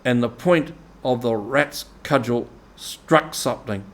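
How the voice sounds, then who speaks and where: A man's voice: mid-range voice, a little slow. A man reading a story aloud as scripted narration, as an audiobook narrator.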